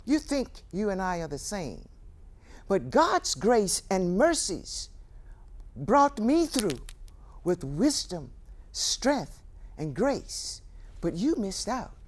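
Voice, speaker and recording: An older actress playing a wry, grieving, defiant ageing woman in a theatrical monologue, with deliberate pauses and emphatic delivery. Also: mid-range voice, very animated. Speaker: stage actor performing a monologue.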